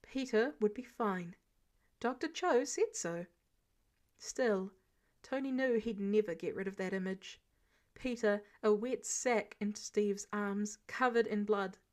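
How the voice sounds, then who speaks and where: A woman's voice: mid-range voice, slow pace. A woman reading a scripted story as an audiobook narrator, expressively and acting out the dialogue. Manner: acts out dialogue. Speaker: audiobook narrator of fan fiction.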